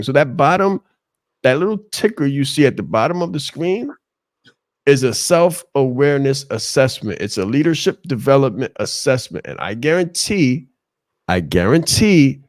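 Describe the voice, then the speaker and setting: A man with a naturally loud, projecting voice, coaching on a video call with passion and emphatic repetition. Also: medium pitch, no echo, clean studio sound. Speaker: leadership coach. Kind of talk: motivational talk on a live show.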